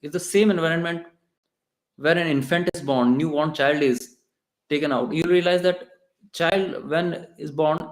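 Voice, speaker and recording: A man with an Indian English accent giving a conversational devotional lecture in a temple, pausing and restarting often. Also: fairly high pitch, steady tone. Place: temple hall. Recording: echoey temple room.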